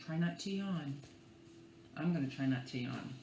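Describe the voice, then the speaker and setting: A woman reading a picture book to young children in an expressive, playful and theatrical way, drawing out words and adding hushed asides. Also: low voice, normal speed. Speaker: librarian reading at children's storytime. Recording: echoey room with faint background noise.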